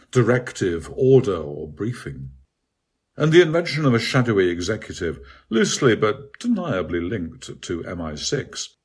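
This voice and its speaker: A man with a husky voice reads his own literary article in a measured, bookish way. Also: low-pitched. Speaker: author reading own article. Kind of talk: scripted literary essay narration.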